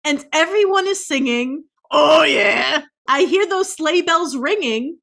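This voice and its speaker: A woman's voice: high-pitched, expressive, slow pace, creaky, a bit bright. A woman co-hosting a podcast, giving playful, theatrical readings in an exaggerated, melodramatic way. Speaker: podcast co-host. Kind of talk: playful, theatrical dramatic reading of song lyrics.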